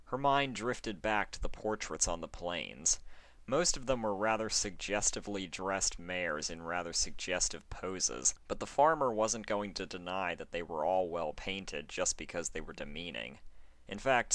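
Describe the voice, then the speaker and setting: A man reading fan fiction aloud as expressive, scripted story narration. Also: low voice, no echo.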